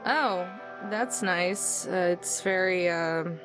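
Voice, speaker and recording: Man doing a slow, hesitant young woman. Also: high-pitched, music in the background, pitched above the performer's own voice, low-quality recording. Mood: awkward, hesitant. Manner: hesitant, halting. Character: awkward, uneasy young woman making small talk.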